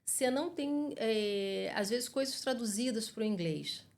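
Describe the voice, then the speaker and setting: A woman's voice: fairly high pitch, expressive, measured pace, vocal fry, darkish tone. A Brazilian woman in her forties with a Brazilian Portuguese accent, a psychologist giving earnest, explanatory interview answers and often adding tag questions. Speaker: guest psychologist.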